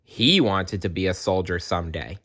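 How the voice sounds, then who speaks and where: A storyteller reading aloud to children in an expressive way, with dramatic pauses and playful asides. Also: low voice.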